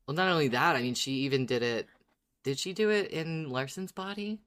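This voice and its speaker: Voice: mid-range voice, fairly quick, fairly bright tone. A podcast co-host with an androgynous voice, chatting casually and reading out listener messages. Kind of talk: casual fan discussion and reading listener emails.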